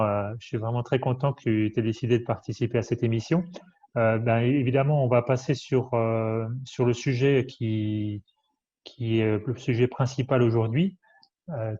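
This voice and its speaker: A man's voice: mid-range voice, warm tone. A man hosting an interview show, introducing his guest in a hesitant way with frequent fillers and restarts. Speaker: host. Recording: dull lo-fi sound.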